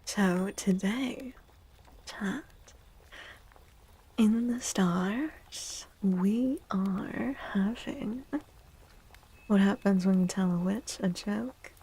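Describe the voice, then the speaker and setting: A woman speaking in a soft ASMR style as a streamer, breathy and often near a whisper, gentle and playfully theatrical with drawn-out words. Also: medium pitch, rough recording. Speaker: streamer hosting a tarot and astrology stream. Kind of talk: soft-spoken ASMR, casual live stream chat.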